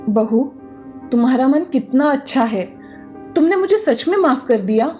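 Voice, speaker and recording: High-voiced indignant older mother-in-law, acted by a woman. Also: animated, moderate pace, dark tone, soft background music. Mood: remorseful. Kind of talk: acted dialogue in cartoon moral story.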